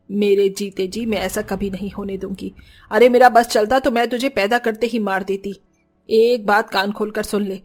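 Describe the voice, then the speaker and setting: A woman's voice: mid-range voice, a little mellow, close to an everyday voice. A woman playing a cruel, scolding middle-aged mother-in-law in a cartoon story. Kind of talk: Hindi cartoon story acting.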